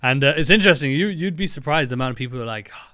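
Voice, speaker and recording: A man's voice: medium pitch, animated, very fast, pushed and bright, no room sound. Male podcast host, upbeat, filler-heavy delivery, dry close sound. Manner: friendly, enthusiastic, lots of fillers. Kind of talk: podcast hosting.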